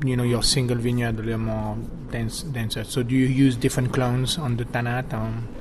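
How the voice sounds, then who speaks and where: A man's voice: mid-range voice, level tone, brisk, background noise. A winemaker man from France with a French accent, talking casually and hesitantly with many fillers. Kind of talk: casual conversation.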